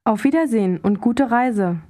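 Mellow, higher-pitched woman modeling phrases. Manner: slow, careful model pronunciation. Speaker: language course speaker. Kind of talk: scripted instructional dialogue.

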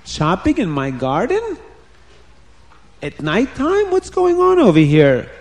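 A man's voice: fairly high pitch, moderate pace, darkish tone, close to an everyday voice. A man voicing a hot-tempered, blustering king in a children's story, exclaiming and accusing with heavy emphasis. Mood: indignant.

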